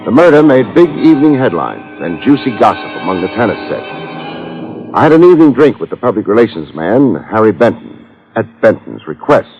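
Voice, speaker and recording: Brief: hardboiled radio gumshoe, sardonic and clipped, low husky voice, muffled vintage broadcast sound. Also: male voice, big pitch swings, brisk, darkish tone. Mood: dry narrating. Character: wry, tough, wisecracking male private detective. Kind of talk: 1950s radio detective drama, first-person narration and dialogue. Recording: muffled old radio recording with music.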